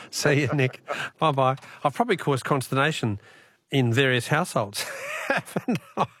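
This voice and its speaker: A man's voice: medium pitch, big pitch swings, unhurried, fairly bright tone, no echo. An Australian man hosting a live phone-in radio quiz, chatting with callers in a jokey, bantering way.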